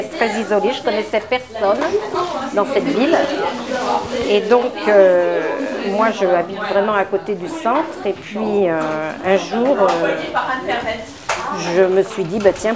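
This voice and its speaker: A woman giving a personal testimony in an enthusiastic way, often repeating words for emphasis. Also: medium pitch. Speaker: community centre volunteer. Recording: busy room full of background chatter.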